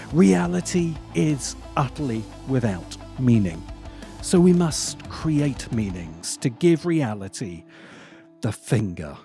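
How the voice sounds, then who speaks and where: A man delivers scripted essay narration in a mellow, relaxed tone. His pace is unhurried and deliberate, with emphatic phrasing that leaves dramatic pauses. Faint music plays behind his voice. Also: fairly high pitch. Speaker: video essayist and host.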